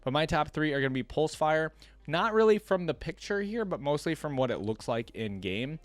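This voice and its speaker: A man's voice: a little high, big pitch swings, normal speed, no room sound. Casual man reacting, close dry sound. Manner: chatty, thinking aloud. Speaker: host of a gaming reaction channel.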